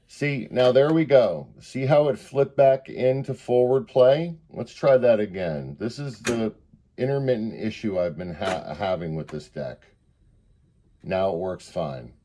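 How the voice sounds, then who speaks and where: A man's voice: medium pitch, unhurried, warm tone, slight background noise. A man giving a casual hands-on product demonstration, explaining step by step and thinking aloud. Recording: music playing in the background.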